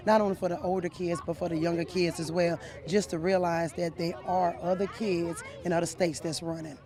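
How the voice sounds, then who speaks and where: A man who coaches a youth track program, answering interview questions outdoors at a meet, earnest and enthusiastic. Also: high voice.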